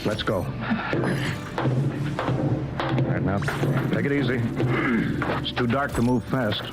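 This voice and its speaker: A man plays an authoritative spaceship captain from an old radio serial. He is skeptical and used to giving orders, speaking at a middling pitch over heavy background music. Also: takes it slowly, natural voice. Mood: commanding. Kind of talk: old-time radio drama acting.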